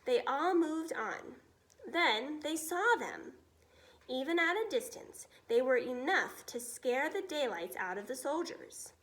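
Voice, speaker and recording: Female storybook reader: high voice, expressive, dramatic emphasis, wide swings of intonation. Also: takes it slowly. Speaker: teacher reading a children's book aloud.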